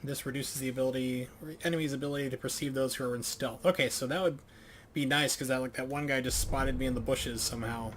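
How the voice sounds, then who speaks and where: A man plays through a game on stream and reads the on-screen tutorials aloud. He stumbles and corrects himself as he reads, with his voice at an ordinary middle pitch. A faint hum sits behind him. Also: fairly even intonation, fairly bright tone. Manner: self-correcting, halting reading aloud. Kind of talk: thinking aloud while reading game tutorials.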